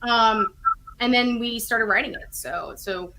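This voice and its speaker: A woman's voice: a little high, a little slow, bright, forceful tone, a little hiss or hum, rough recording. A chatty woman in casual interview conversation as a guest writer and producer, often correcting herself as she explains. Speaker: audio drama writer and producer, podcast guest. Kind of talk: animated casual interview chat.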